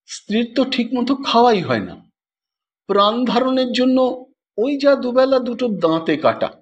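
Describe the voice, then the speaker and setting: A man narrating a literary story in a measured, expressive and formal dramatic manner. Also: extremely high pitch, muffled recording. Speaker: audiobook narrator.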